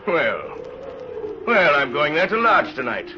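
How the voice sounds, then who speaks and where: A man acting a kindly, gentle poor traveller in an old radio drama, hesitant and fatherly. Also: a little high, very animated, takes it slowly. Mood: curious, gentle. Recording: dull, faintly noisy old radio recording.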